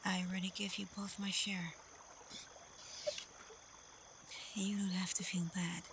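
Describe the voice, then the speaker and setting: A woman playing a caring, protective, frank female werewolf pack leader in a soft, breathy, reassuring ASMR roleplay. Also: medium pitch, little pitch movement, brisk, noisy background, natural voice. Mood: caring, coaxing.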